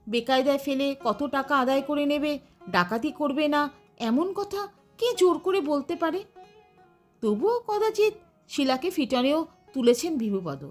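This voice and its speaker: A woman. Her intonation is lively, rising and falling widely phrase to phrase. Faint music plays behind her reading. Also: sits high. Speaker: audiobook narrator. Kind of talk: expressive literary story narration.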